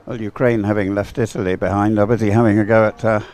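A man giving unhurried, chatty live race commentary in an encouraging manner. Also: fairly low pitch, flat delivery, a little mellow. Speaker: sports commentator.

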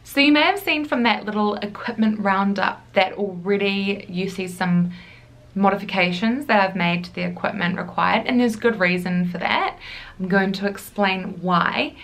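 A woman's voice: medium pitch, a little slow, a bit bright. A young woman, chatting upbeat straight to camera in her living room. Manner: chatty, upbeat, addresses viewers directly. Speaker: fitness vlogger. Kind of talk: casual talking-to-camera vlog.

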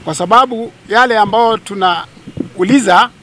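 A man's voice: high voice, a little slow. A man in his fifties with a Kenyan accent giving a press statement outdoors into press microphones. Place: outdoors among a crowd of people. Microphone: cluster of handheld press microphones. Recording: light background noise, outdoors.

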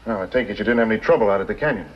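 Man acting a scheming western townsman, extremely fast, bossy, irritable, muffled vintage film sound. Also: on the low side, a little hiss or hum, lightly acted. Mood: wary, bossy. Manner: urgent, bossy. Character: scheming, irritable townsman giving orders to outlaw. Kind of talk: 1950s television western acting.

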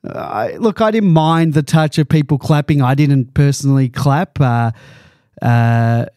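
An Australian man with a mellow, warm voice hosts a podcast in casual chat. He is laid-back and chuckles often. The sound is dry and close. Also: mid-range voice, a little slow, clean tone, no echo. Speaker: podcast host.

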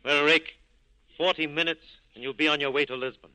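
An actor playing a suave, corrupt police captain with an urbane, droll manner in 1940s radio style. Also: male voice, a little high, fairly even intonation, fairly quick, sharp tone, faint music behind, rough recording. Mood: wry.

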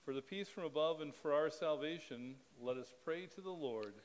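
A middle-aged man leads a spoken church liturgy from the pulpit. His reading is measured and steady, with an even cadence. He speaks into a pulpit microphone in a church sanctuary. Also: a little high, poor audio. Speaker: pastor.

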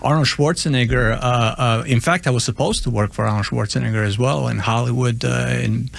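A man's voice: medium pitch, fairly quick, creaky, no echo. Man in his forties, from Serbia, chef guest, hesitant speech, radio studio. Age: 40s. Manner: hesitant, many fillers and restarts. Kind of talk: interview storytelling. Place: radio studio, seated at table. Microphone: studio boom mic.

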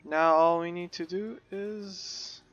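A man's voice: sits high, steady tone, slow pace, fairly bright tone, lo-fi sound. A man hosting a casual game let's play, rambling and hesitant, his voice a bit crackly. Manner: rambling, hesitant with many fillers. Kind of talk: casual gameplay commentary.

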